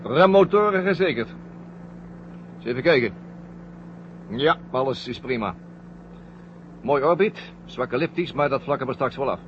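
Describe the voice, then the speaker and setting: A man's voice: mid-range voice, animated, moderate pace, long pauses, natural voice. An actor playing a practical, easygoing male astronaut crewmate in a science-fiction radio play. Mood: relaxed, practical. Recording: muffled radio drama, faint hum.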